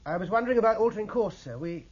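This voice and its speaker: A man playing a thoughtful, deferential young British naval lieutenant in a radio drama, measured and understated. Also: sits high, lively intonation, lo-fi sound, slight background noise. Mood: deferential, guarded.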